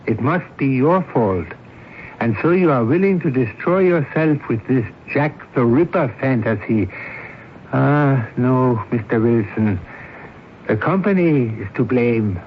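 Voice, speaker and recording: Through a muffled, noisy vintage radio recording comes a man acting an insistent doctor. His medium-pitched voice is deliberate and commanding, pressing each pointed question home with cool authority. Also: expressive, natural voice. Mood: probing, insistent. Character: probing, insistent male doctor, coolly authoritative. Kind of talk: 1970s radio drama acting.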